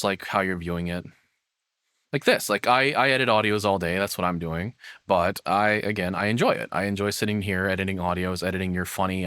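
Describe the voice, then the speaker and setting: Bright, forceful man, dry close sound. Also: lowish voice, clean tone, no echo. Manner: rambling, frank, swears casually. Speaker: content creator reacting to a video. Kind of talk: casual reaction commentary.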